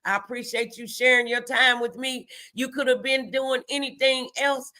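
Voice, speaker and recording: A woman's voice: sits high, fairly even intonation, moderate pace, pushed and bright. A middle-aged American woman hosting a motivational faith talk, exhorting listeners in an emphatic, preacher-like way. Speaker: host of a morning motivational show.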